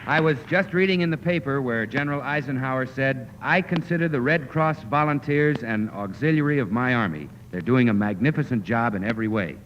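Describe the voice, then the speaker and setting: A man's voice: medium pitch, a little fast, few pauses, a bit bright. An American man speaking as a 1940s radio announcer in a scripted exchange. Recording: very noisy, dull 1940s radio broadcast, audience sound.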